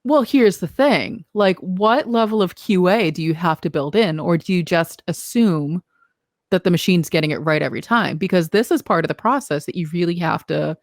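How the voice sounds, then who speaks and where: Low-voiced woman co-hosting a podcast. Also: moderate pace, no echo, clean studio sound. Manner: practical, questioning, uses filler "you know". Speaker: podcast co-host. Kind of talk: casual conversational podcast chat.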